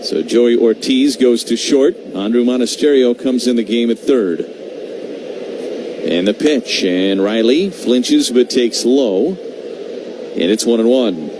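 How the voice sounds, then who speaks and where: A man doing live baseball play-by-play on radio, talking in measured short phrases with conversational asides. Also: medium pitch. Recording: clearly noisy live radio broadcast.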